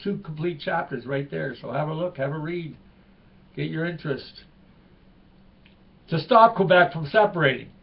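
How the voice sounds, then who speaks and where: Older canadian host, talks in meandering asides, muffled lo-fi audio. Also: male voice, a little high, moderate pace, vocal fry, pauses often. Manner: meandering, self-interrupting. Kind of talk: rambling solo commentary.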